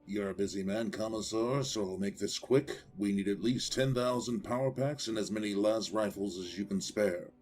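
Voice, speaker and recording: A man's voice: fairly low pitch, moderate pace, hardly any pauses, a little mellow, lightly acted. A man playing a huge, proud farmer-mayor in an audio drama, speaking bluntly and emphatically with defiant conviction. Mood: blunt, businesslike. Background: faint background music.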